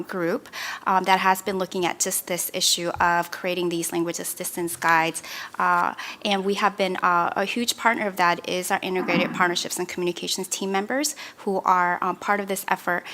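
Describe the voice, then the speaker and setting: A woman presenting for a government program, answering questions at a meeting in a measured, explanatory official tone. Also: lowish voice, fairly bright tone. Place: meeting room.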